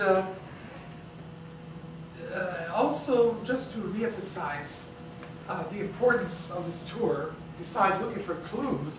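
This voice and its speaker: A man gives an unscripted introduction at a slow, hesitant pace, often searching for words. He sounds away from the mic in a very echoey theater, with clear noise behind him. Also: sits high, far from the mic. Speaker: co-presenter of a staged book reading. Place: indoor theater, staged reading. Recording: echoey, noisy theater recording from off.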